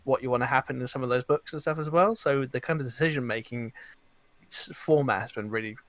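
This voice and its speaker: A man's voice: mid-range voice, fast, a bit bright, dry recording. A man co-hosting a podcast in casual chat, hesitant and often searching for his words. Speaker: podcast co-host.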